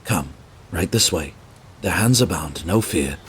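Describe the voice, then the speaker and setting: An actor playing a dutiful, deferential soldier in a fantasy audio drama, restrained and respectful. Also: male voice, low-pitched, expressive, measured pace, fairly bright tone, a little hiss or hum. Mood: grave, deferential.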